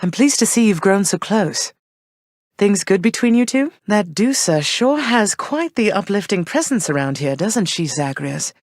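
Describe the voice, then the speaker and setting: A soft-spoken goddess queen and mother from a video game in a mid-pitched, measured, slightly formal way. She sounds tender and thoughtful. The voice is dry and close. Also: sing-song, dry recording. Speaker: voice actress for a video game character. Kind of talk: recorded game voice lines.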